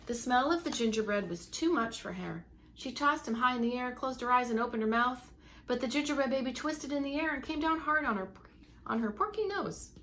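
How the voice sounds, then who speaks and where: A woman's voice: sits high, expressive, brisk, a bit bright. Woman reading a storybook, faint background noise. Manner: emphatic storytelling with asides to listeners. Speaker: preschool teacher reading aloud. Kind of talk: expressive storybook read-along for young children.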